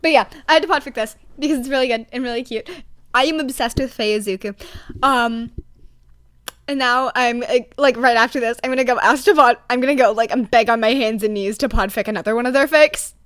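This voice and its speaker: Excitable young woman with a high-pitched voice. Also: fairly quick. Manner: excitable, slangy, swearing, emphatic. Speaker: podfic reader. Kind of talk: casual rambling chat to listeners.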